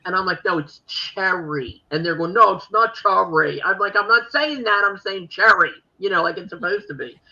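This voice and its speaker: A woman's voice: mid-range voice, moderate pace, few pauses, bright, forceful tone, lo-fi sound. A woman with a Philadelphia accent hosting a podcast, chatting casually and rambling with many fillers. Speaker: podcast host. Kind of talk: casual chat.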